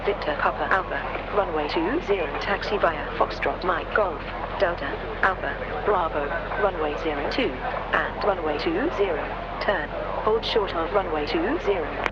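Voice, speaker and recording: Guy playing a high voiced atc tower controller in a flight sim, brisk clipped radio talk, muffled with loud noise. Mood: brisk, official. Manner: clipped, procedural. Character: male tower air traffic controller, brisk and official. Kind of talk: formal radio phraseology. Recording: dull radio channel, loud engine noise.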